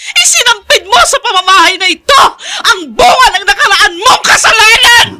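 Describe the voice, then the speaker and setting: Very high-pitched, sharp-tongued Filipino mother. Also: animated, normal speed, heavily acted. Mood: furious accusing. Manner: scolding, confrontational. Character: sharp-tongued, accusing wife and mother defending her son. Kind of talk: Filipino radio drama acting.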